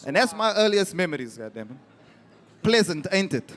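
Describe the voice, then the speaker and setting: A man from South Africa telling a story as a guest on a live panel, wry and animated. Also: moderate pace. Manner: animated, wry, rises in pitch when stressing points.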